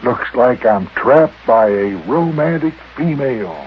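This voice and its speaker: A man's voice: mid-range voice, lively intonation, unhurried, narrow, tinny audio, slight background noise, natural voice. A man voicing a blustering, flustered mayor in an old-time radio comedy, stammering as he protests. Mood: bewildered. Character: blustering, flustered older mayor dodging marriage. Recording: band-limited old radio recording.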